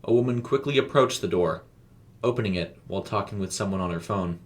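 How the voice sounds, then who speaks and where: A man narrates a scripted story. His voice sits on the low side, and he tells it in a measured, descriptive manner. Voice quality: low-pitched.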